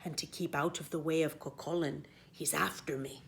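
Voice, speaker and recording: A woman's voice: sits low, fairly even intonation, normal speed, creaky, a bit bright, slight background noise. A woman voicing an honest giant husband in a put-on Irish accent while reading a storybook. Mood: tender.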